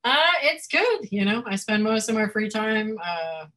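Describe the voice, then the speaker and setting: A woman author and publisher chatting as a podcast guest, animated and giggly, rambling with many fillers. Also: medium pitch, measured pace. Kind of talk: casual chat. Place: Florida home.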